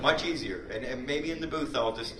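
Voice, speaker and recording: A man in a casual chat as a voice actor and show creator, animated and joking as he talks about character voices. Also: medium pitch, brisk. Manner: animated, joking, often laughing. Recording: echoey, distant, very noisy.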